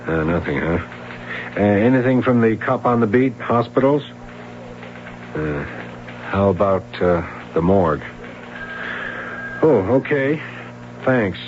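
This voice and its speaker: A weary American police sergeant speaks in a deep voice, feeling his way deliberately through each question. It is radio drama on a dull old recording with faint noise and hum. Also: expressive. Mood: businesslike. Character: weary, methodical male police sergeant investigating a disappearance. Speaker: actor.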